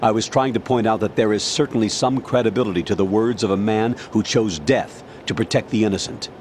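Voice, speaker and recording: A male actor as an older game colonel with a low, commanding voice. His delivery is formal and measured, with faint noise behind him. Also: darkish tone, close to an everyday voice. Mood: formal, grave. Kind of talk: dramatic video game voice acting.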